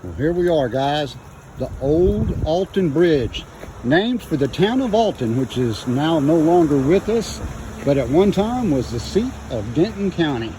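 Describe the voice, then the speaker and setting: Animated, folksy man telling stories. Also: a little high, a little slow, noisy background. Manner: folksy, addressing viewers directly. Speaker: host, home builder presenting local stories. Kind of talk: storytelling to camera. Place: outdoors in rain and inside a Jeep.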